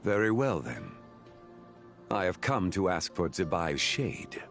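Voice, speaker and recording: A man playing a grave, composed ancient god in a fantasy game, speaking in a measured, solemn and formal way. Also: sits low, big pitch swings, full character voice. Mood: solemn, resolute. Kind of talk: fantasy game cutscene acting. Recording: music behind the voice.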